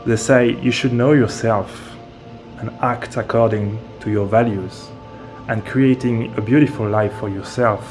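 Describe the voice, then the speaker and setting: A man from France, a guest speaking in a slow, hesitant way and searching for his words. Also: on the low side, fairly even intonation, a little mellow, faint music behind. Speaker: interview guest, medium and author. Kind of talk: casual interview talk.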